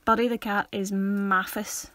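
A woman's voice: medium pitch, little pitch movement, unhurried, a bit bright, dry recording. A young woman co-hosting a casual podcast, giggly and playful, often dropping into whispers. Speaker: podcast co-host. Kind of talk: casual chat.

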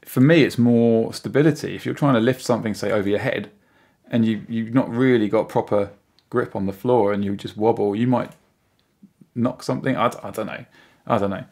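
A man's voice: lowish voice, brisk, darkish tone. A British man of about forty, a podcast guest chatting casually in a rambling way. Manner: rambling, frequent "you know". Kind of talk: casual chat.

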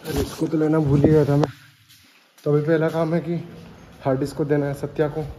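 A man's voice: on the high side, flat delivery, fairly quick. A young man talking casually to a phone held at arm's length, mixing Hindi with English, in a vlog. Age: young adult. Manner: chatty, mixing Hindi and English, bits of Odia. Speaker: vlogger. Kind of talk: casual travel vlog to camera. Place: indoors and outdoors, rooms, street, station. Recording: music playing behind the voice.

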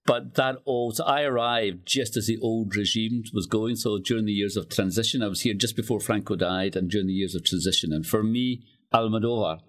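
Casting: older Scottish male writer, lowish voice, relaxed chat as an interviewee. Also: brisk, hardly any pauses. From Scotland. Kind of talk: casual conversational reminiscence.

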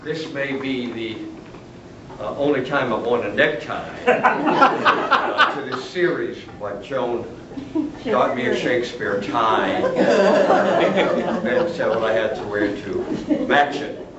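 A man's voice: medium pitch, sing-song, takes it slowly. An older man, a retired literature professor, lecturing at a lectern in a large room, chatty and humorous with chuckles at his own jokes. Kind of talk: lecture with asides. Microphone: camera at the back of the room. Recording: roomy, distant, dull, noisy camera audio.

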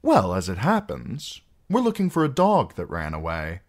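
A man's voice: sits low, wildly swooping pitch, normal speed, full character voice. A man voicing a wry outlaw singer in an audiobook, teasing and falsely kind, with a lilting delivery. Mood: coolly probing. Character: wry, mocking outlaw singer, smoothly cruel adult man. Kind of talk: audiobook character acting.

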